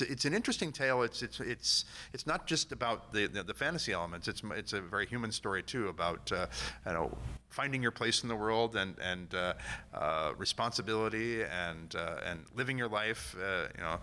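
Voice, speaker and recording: A man giving an informal convention panel talk in a halting way, often repeating words. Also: lowish voice, bright, forceful tone. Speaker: panel speaker, audio drama company founder and actor. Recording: live panel with noticeable room noise.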